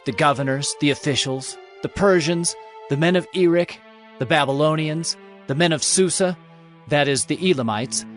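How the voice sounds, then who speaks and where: A man's voice: on the high side, natural voice. A man reading as scheming Persian-era officials. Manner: formal, accusatory reading. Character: officials writing an accusing letter, men.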